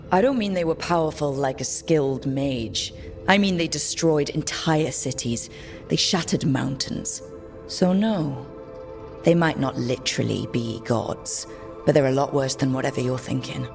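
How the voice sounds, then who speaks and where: An actor voicing a calm, wry elven leader in a fantasy video game, explaining things casually and steadily over music. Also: mid-range voice, moderate pace, gravelly, natural voice. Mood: wry, serious. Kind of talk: video game cutscene dialogue.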